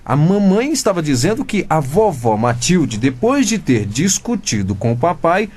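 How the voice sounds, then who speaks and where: Cheeky, high-voiced teenage boy detective. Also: very animated, normal speed, darkish tone, slightly rough. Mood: officious, probing. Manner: mock-formal, inquisitive. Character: cheeky, officious fifteen-year-old boy playing detective. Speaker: radio drama actor. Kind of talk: comic radio drama acting.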